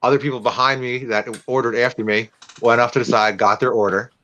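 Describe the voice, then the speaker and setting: A man's voice: medium pitch, fairly even intonation, a little fast, pushed and bright, dull audio. A man chatting casually with friends on a stream, rambling and swearing as he tells a story. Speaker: podcast co-host. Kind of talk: casual chat among friends.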